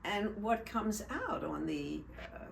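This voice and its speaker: Older woman host, slow musing talk, lively swoops, echoey room, distant mic. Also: medium pitch, creaky, a little hiss or hum. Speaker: host and actress. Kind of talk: casual interview chat.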